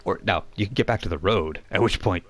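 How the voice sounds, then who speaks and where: A man's voice: low voice, brisk, a bit bright. A man narrating a tabletop role-playing game off the cuff as game master, casual and conversational.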